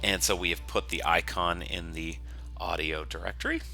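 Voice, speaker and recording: A man narrating an informal software tutorial in a conversational, explanatory way. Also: low-pitched, steady tone, moderate pace, creaky, faint music behind. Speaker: software developer teaching a tutorial.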